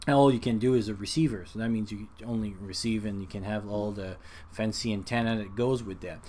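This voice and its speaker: A man's voice: on the low side, fairly even intonation, mellow. Guy chatting casually, lots of hesitating and rambling, some noise behind him. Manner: halting, rambling, with frequent hesitations. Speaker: hobbyist video presenter. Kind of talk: casual unscripted explanation.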